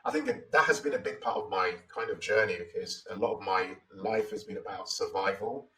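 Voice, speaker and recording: A man's voice: on the low side, lively intonation, fairly quick, recorded from a distance, a crowd in the background, poor audio. A Nigerian man in his forties, telling his story as an interview guest in a thoughtful, reflective way with many "you know" fillers. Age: 40s.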